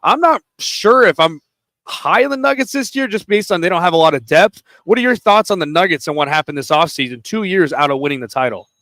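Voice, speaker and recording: A man's voice: on the high side, brisk, no echo. Man reading betting odds on radio. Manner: steady, setting up topics with odds. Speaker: sports radio host. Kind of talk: sports betting radio talk. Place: radio studio. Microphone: studio microphone.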